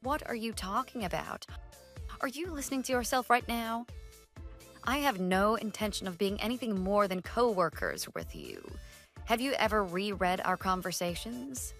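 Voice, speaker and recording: A woman's voice: mid-range voice, big pitch swings, normal speed, a bit bright, faint music behind. An actress playing an exasperated young female coworker, blunt, sarcastic and dismissive, in a scripted online drama.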